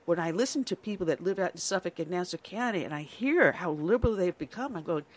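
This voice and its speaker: A woman's voice: fairly low pitch, lively intonation, fast. An older woman from New York hosting a conservative talk radio show, speaking earnestly and conversationally.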